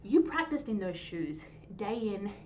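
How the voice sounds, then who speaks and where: Kind, wise grandmother for a storybook, read by a woman, reassuring, expressive pitch, faintly noisy recording. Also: unhurried. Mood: proud, gentle. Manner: gentle, reassuring, patient. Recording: dull lo-fi sound, faint noise.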